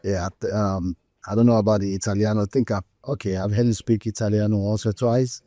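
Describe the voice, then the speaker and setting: Low-voiced male interviewer talking to viewers. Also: steady tone, quick pace, mellow, dry recording, studio quality. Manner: addresses viewers directly, probing follow-up questions. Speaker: interviewer host. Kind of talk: interview host talk.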